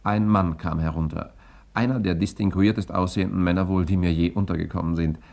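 An actor playing a cool, wry journalist in a 1960s German radio crime serial, with a gravelly, husky voice. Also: male voice, sits low, steady tone, a little fast, a little mellow. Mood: wry narrating. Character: cool, wry male journalist telling his own crime story. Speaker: lead actor and narrator.

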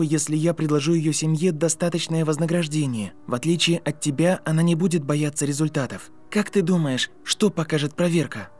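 A man's voice: fairly high pitch, darkish tone, natural voice. A man voicing an articulate, insistent boy wizard who reasons like a scientist, in an audiobook with music. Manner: reasoning, lecturing, intense.

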